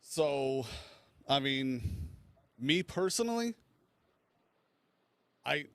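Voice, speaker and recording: A man's voice: medium pitch, lively intonation, drawn-out words, a bit bright, clear, resonant tone, dry recording. A man co-hosting a casual movie debate, informal and enthusiastic, repeating himself for emphasis. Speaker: podcast co-host.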